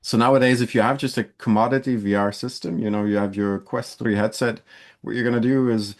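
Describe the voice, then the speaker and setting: A man's voice: on the low side, fairly even intonation, a little fast. A man from Belgium giving a university lecture in a loose, conversational way, with frequent fillers and restarts.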